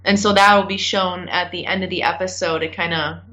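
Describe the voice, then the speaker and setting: A woman's voice: on the low side, steady tone, a little fast, pushed and bright. A woman sharing recollections in casual conversation as an interview guest. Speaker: podcast guest, bride recalling her wedding.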